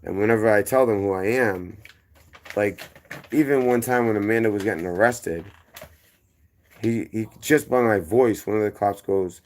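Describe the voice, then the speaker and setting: A man's voice: on the low side, normal speed, darkish tone, slight background noise. A man with a strong Boston accent, from the city's inner neighborhoods, telling his story as a guest in a rambling, tangent-filled way that keeps circling back and correcting itself. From inner-city Boston. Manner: rambling, drifting between tangents and correcting himself. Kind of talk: casual storytelling.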